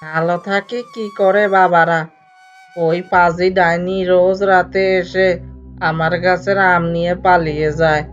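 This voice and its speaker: A woman playing a worried, grumbling older male villager in an animated cartoon, complaining anxiously. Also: on the low side, measured pace, natural voice. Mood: aggrieved. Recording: dull lo-fi cartoon soundtrack. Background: faint music.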